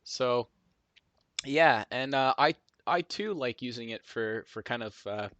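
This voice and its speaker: A man's voice: medium pitch, measured pace, a bit bright, no room sound. A man hosting a live coding stream, chatting casually and answering viewer comments in a friendly, rambling way. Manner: chatty, friendly, with fillers and self-repeats.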